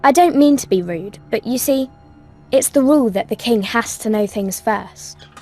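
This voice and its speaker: Plucky young game heroine, polite n earnest, high voice, bit of music under it. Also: female voice, moderate pace, mellow. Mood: urgent, insistent. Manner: earnest, courteous. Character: brave, quick-witted, polite young girl heroine. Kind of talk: video game cutscene acting.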